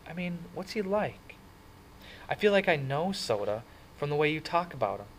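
A man voicing a curious, gentle teenage girl who asks soft, coaxing questions in a storybook reading. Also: on the high side, very animated, moderate pace, a little hiss or hum, pitched above the performer's own voice, rough recording.

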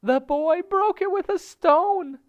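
A man's voice: very high voice, slow pace, no echo, phone-quality sound, pitched above the performer's own voice. A man voicing a frantic female tailorbird in a storybook, wailing shrilly and desperately. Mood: feigned distress. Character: frantic, shrieking female tailorbird, a small wife. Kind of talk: storybook character voice.

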